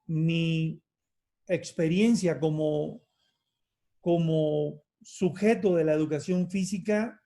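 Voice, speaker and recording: A man's voice: on the high side, dark tone. Hesitant man giving a lecture. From Cuba. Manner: hesitant, many fillers and restarts; measured and reflective. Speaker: university lecturer in physical education. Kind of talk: academic conference talk.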